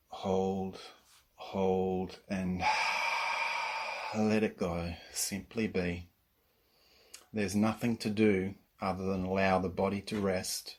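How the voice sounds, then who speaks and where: A man leading a guided relaxation as a yoga nidra teacher, speaking in a calm, soothing way with long pauses between instructions. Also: low-pitched.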